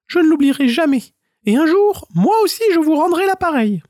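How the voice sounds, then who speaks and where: A man voicing a small, polite male squirrel in a very high, quick voice. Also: big pitch swings, a little mellow, no room sound, studio quality. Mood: pleading. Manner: squeaky, rapid, polite.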